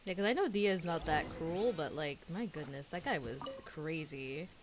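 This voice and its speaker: A woman's voice: sits low, lively intonation, moderate pace, noisy background. A woman streamer giving casual, informal reaction commentary while playing a video game, often in short asides.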